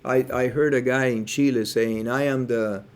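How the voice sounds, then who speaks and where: A man's voice: mid-range voice, steady tone, moderate pace. A man from Cuba co-hosting a podcast, speaking earnestly and thinking aloud with hedges. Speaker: podcast co-host. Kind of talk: casual podcast chat.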